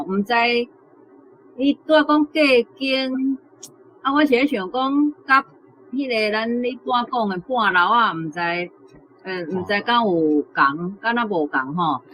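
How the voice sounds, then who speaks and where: A woman's voice: fairly high pitch, animated, a little slow, sharp tone, slight background noise, poor audio. A woman with a Taiwanese accent, a teacher explaining translations informally and tentatively, thinking aloud. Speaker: teacher giving on-site guidance.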